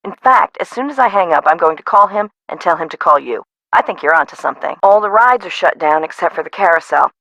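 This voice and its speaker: A woman's voice: on the low side, lively intonation, fast, few pauses, pushed and bright, no echo, full character voice, rough recording. An actress voicing a frazzled, no-nonsense woman who runs an amusement park in a video game, conversational and dry. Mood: brisk, confiding. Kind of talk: scripted video game voice acting.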